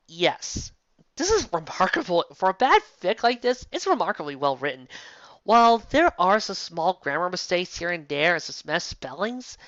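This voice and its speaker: A woman with a medium-pitched voice delivers an off-the-cuff review in an incredulous, exasperated way. She halts and rephrases as she goes. The recording is close and dry, with a slightly muffled, lo-fi sound. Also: no room sound. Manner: incredulous, exasperated ranting with rhetorical questions. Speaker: fan fiction reviewer and commentator. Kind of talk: casual, unscripted opinion commentary.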